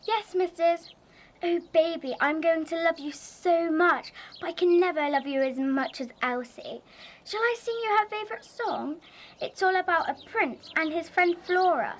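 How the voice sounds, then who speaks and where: A female performer playing a gentle, earnest young evacuee girl minding a baby in a radio play. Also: extremely high pitch, expressive, moderate pace. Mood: tender. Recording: clear background noise.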